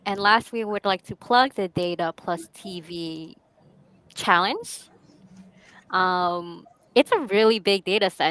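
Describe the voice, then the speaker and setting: A woman's voice: mid-range voice, fairly bright tone, poor audio. Upbeat woman hosting an online meetup. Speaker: user group host. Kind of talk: meeting announcements. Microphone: video call.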